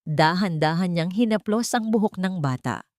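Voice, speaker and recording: A woman's voice: lowish voice, animated, normal speed, darkish tone, no room sound, clean studio sound. A woman storyteller reading scripted, dramatic narration of a romance drama.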